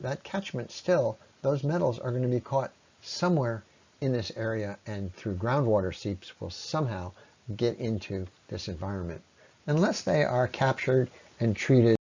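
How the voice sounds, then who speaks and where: A man giving an explanatory slide presentation as a lecturer, often pausing mid-sentence as he explains. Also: medium pitch, a little mellow, highs cut off.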